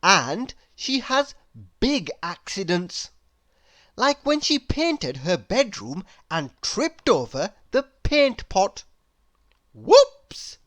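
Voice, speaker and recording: A woman narrating a children's picture book aloud in an expressive, deliberate, theatrical storytelling manner. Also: medium pitch, no echo. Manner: deliberate, theatrical, with playful exclamations.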